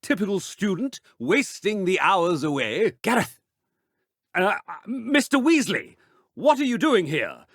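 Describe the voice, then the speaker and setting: A man's voice: high-pitched, big pitch swings, measured pace, a bit bright, no echo, clean studio sound, exaggerated voice. A man voicing an impatient, haughty wizard who talks in a curt, scolding way. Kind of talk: video game voice lines. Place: recording studio.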